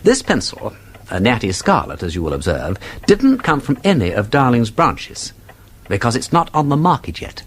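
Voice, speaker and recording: Gentleman detective, upper-class English, wildly animated intonation, slightly husky, witty, flourishing, radio play. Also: mid-range voice, normal speed. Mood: deducing briskly. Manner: theatrical, playfully pedantic, flourishing delivery. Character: witty aristocratic English gentleman sleuth, breezy and talkative. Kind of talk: British radio drama acting.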